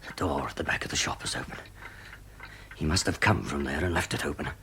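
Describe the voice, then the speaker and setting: An actor playing a desperate, self-justifying murderer in a radio drama, brooding theatrically with sudden nervy outbursts. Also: male voice, very deep voice, fairly quick, sharp tone, slight background noise, heavily acted. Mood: nervous, searching.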